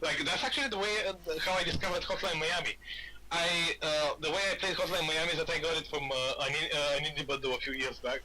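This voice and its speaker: A man's voice: on the high side, fairly even intonation, fast talker, crowd noise, rough recording. A man from Bulgaria chatting casually on a gaming podcast, rambling and often repeating his words. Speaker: podcast host.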